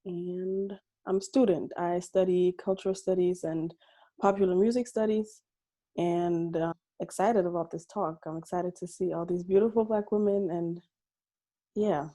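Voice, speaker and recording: A woman's voice: fairly low pitch, fairly even intonation, unhurried, mellow. A woman in her twenties from Germany, a student, who sounds upbeat as she introduces herself. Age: 20s.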